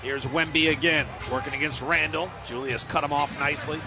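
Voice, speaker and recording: A man's voice: a little high, steady tone, brisk, creaky, over music. An American man calling live basketball play-by-play on the radio in clipped, fragmentary phrases. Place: live sports broadcast from the game.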